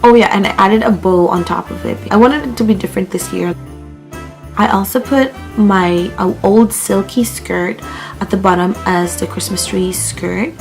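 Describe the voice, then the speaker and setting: A woman's voice: mid-range voice, darkish tone. A young woman from the Philippines chatting casually in a home vlog, her voice worn as she is losing it, full of "like" and "um". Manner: chatty, with fillers like "like" and "um". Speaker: vlogger. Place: living room at home.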